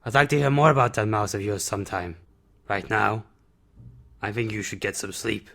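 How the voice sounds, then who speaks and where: Man playing a gravelly, fatherly recluse. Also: lowish voice, fairly bright tone. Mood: quietly fatherly. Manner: terse, measured, plain-spoken. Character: gruff, fatherly reclusive man who cares for animals. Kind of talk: audio drama acting.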